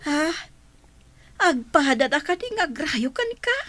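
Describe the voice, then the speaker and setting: A woman's voice: sits high, sing-song, a little slow, fairly bright tone, no echo, heavily acted. A woman playing a caring, inquisitive middle-aged mother in a radio drama. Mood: probing. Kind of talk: Ilocano radio drama acting.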